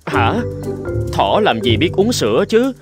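A man's voice: fairly high pitch, sing-song, a little slow, over music. A man voicing a kind young big-brotherly rabbit in a cartoon, speaking in a friendly, comforting, earnest way. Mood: puzzled.

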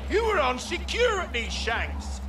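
A man's voice: very high-pitched, huge pitch swings, measured pace, music in the background, heavily acted. A man playing a sadistic British crime boss in a video game, taunting, theatrical and menacing. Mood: furious. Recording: distant voice, clear background noise.

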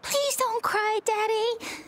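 A woman's voice: extremely high pitch, fairly even intonation, slow, fairly bright tone, full character voice. A woman voicing a timid, sweet little girl in a game, with a small childlike voice and hesitant, pleading manner. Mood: sad, pleading.

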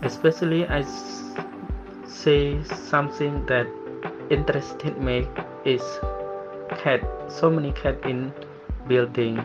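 A student from Cambodia speaking simple, halting English. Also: male voice, medium pitch, steady tone. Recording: dull audio with music underneath.

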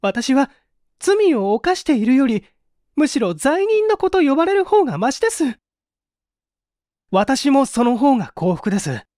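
An anguished young daughter, as acted by a male reader in a mystery audiobook. The voice is raised high and swings with emotion, polite yet pleading, in a dry recording. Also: sing-song, measured pace, long pauses, darkish tone, no echo, heavily acted. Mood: pleading, resolute. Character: anguished earnest young woman, an old man's daughter.

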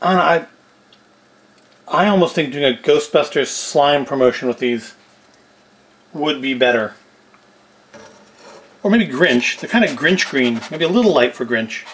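A man's voice: fairly high pitch, expressive, a little slow, pauses often, a bit bright. A middle-aged man giving casual cooking and food review commentary with dry, self-deprecating humour. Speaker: video host. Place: indoors at home, kitchen and tabletop set.

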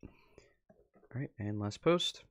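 A man with a fairly low voice hosts a livestream in a casual, chatty way. He talks as if to viewers and to himself, dropping muttered asides as he works through what is on his computer. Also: lively intonation, drawn-out words. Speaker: livestream host. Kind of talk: casual livestream commentary, reading posts aloud. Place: indoors at a computer.

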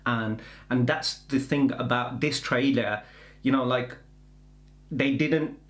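A middle-aged man giving a casual film trailer review in halting, rambling explanations. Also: mid-range voice, fairly bright tone. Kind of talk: casual reaction chat. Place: indoor room with bookshelves. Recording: echoey indoor room.

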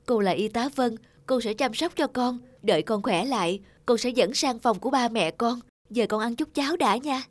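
A woman's voice: fairly high pitch, moderate pace, no room sound, close to an everyday voice. A woman voicing a kind, caring young nurse in a dubbed cartoon. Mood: gentle, caring. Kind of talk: cartoon dubbing.

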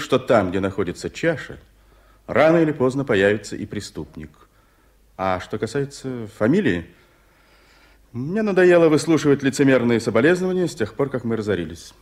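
An actor playing a reserved, embittered private secretary in a radio play, with clipped, restrained delivery. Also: male voice, medium pitch, lively intonation, a little fast, close to an everyday voice. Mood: bitter. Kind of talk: Soviet radio play acting.

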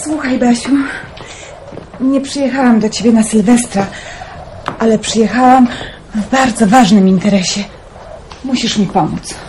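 An eager young woman pressing a friend for help, as an actress plays her. Her voice is high and bright in pitch, urgent and persuasive with little laughs. A faint hiss sits under the radio play. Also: a little slow, long pauses, natural voice. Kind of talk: radio drama acting.

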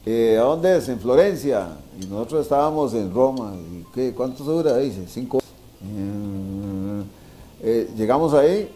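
A man's voice: mid-range voice, lively intonation, unhurried, dark tone, faint music behind. A man in his sixties with a Costa Rican accent, telling anecdotes casually, repeating phrases for emphasis and checking with tag questions. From Costa Rica. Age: 60s. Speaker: interview guest, veteran sports journalist. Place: wine bar dining room, indoors.

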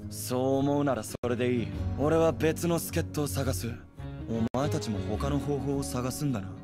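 A brooding young man hungry for revenge, played by a man speaks calmly in short, guarded lines. His pitch sits in the middle range. Game music plays clearly behind him. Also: normal speed, natural voice. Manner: calm, terse, guarded. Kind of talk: game cutscene voice acting.

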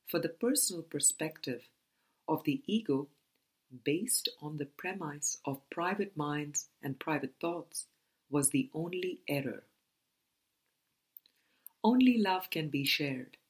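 A woman reading a spiritual book aloud as scripted narration, in a deliberate manner with pauses between phrases. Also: low voice, husky. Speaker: audiobook reader.

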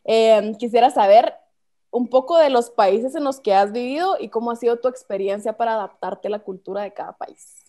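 A woman's voice: on the high side, normal speed, mellow. A woman hosting a school video-call show, friendly and upbeat as she introduces the topic and asks questions. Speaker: host. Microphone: laptop mic on a video call.

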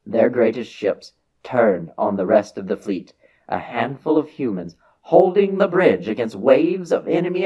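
A man voicing a grave old alien elder who recounts a war to children in a dramatic science fiction reading, with deliberate theatrical pauses. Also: fairly low pitch, a little mellow, slightly rough, lo-fi sound. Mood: grave, intense.

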